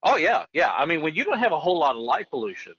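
Interview guest: American man, middle-aged, sharp bright tone, folksy rambling storyteller, lo-fi dull audio. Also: fairly high pitch, wildly swooping pitch, fast, breathy edge, dry recording. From northeastern Oklahoma, USA. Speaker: guest, retired Air Force veteran. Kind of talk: casual personal storytelling in an interview.

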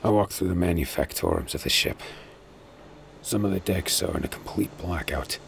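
A man's voice: low-pitched, normal speed, gravelly, background noise. An actor playing a pirate in an audio drama, who narrates his own story in the first person and speaks in character. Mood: grim. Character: urgent male pirate war profiteer narrating his own tale. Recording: music behind.

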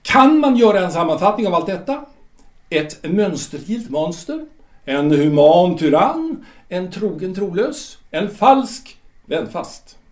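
Guy doing a teasing afterlife guide for an audio drama, high lively voice, echoey room. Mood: probing. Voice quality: probing, teasing, reads out verdicts with pauses. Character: wry male afterlife guide who judges the dead. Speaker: guide on the Isle of the Dead. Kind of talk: amateur audio drama acting.

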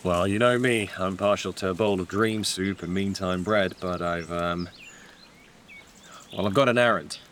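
A man's voice: low-pitched, normal speed, a bit bright, slight background noise. An actor playing a furtive, wry and friendly wartime resistance man in a naturalistic audio drama, speaking in a hesitant, understated way.

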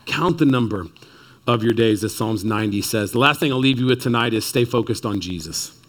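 A man in his thirties from Texas preaching a sermon to a seated congregation, building to excited peaks and dropping low and quiet. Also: a little fast. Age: 30s. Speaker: pastor. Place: church before a seated congregation.

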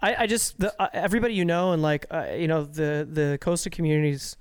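Male podcast host from Canada, smooth higher voice, dry close sound, halting and effusive. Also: steady tone, dry recording. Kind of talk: casual podcast chat.

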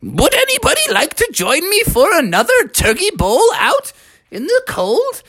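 A woman's voice: high-pitched, wildly swooping pitch, normal speed, fairly bright tone, voice pushed up, heavily acted. A woman voicing a feisty, cocky old grandmother in a story, boastful and taunting. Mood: defiant.

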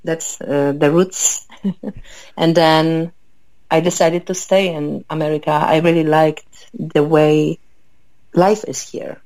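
A woman from Poland with a Polish accent, a guest in a relaxed interview, pausing often with fillers as she thinks aloud. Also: low-pitched, no room sound, rough recording. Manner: hesitant, many fillers, thoughtful. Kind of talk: conversational interview.

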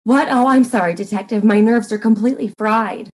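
A woman's voice: a little high, a little fast, close to an everyday voice. A woman playing a flustered, scatterbrained society wife who gossips coyly and hesitantly in a comic murder mystery reading. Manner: fluttery, hesitant, coyly confiding.

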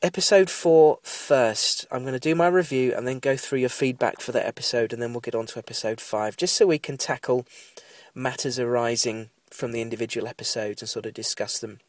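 A man's voice: mid-range voice, brisk, no room sound. A man in his thirties with a cold, sounding nasal and bunged up as he hosts a casual, rambling TV recap podcast. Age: 30s. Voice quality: nasal, congested. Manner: rambling, digressive, apologetic asides. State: has a cold. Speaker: podcast host.

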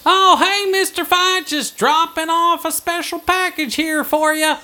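A woman acts a high-voiced, chirpy delivery lady in a kids' audio comedy. The manner is breezy and folksy, a touch nosy, recorded with clear background noise. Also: fairly even intonation, measured pace. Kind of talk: children's comedy audio drama.

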